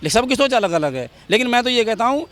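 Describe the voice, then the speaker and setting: A man's voice: very high voice, sing-song, fast talker, a bit bright. A man giving his opinion in a street interview outdoors, speaking emphatically and repeating his points. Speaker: member of the public giving opinion.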